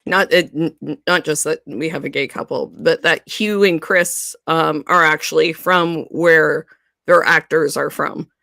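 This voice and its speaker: A woman co-hosting a podcast, chatting casually about a TV series, animated and laughing often. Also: low-pitched, a little slow, creaky, no echo, clean studio sound. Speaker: podcast co-host. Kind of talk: casual fan discussion.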